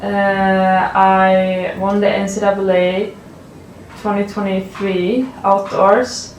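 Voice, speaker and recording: A woman in her twenties from Sweden with a Swedish accent, giving relaxed interview answers full of fillers as a college athlete. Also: mid-range voice, slow, far from the mic, slight background noise. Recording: roomy, off-mic, faint ambience.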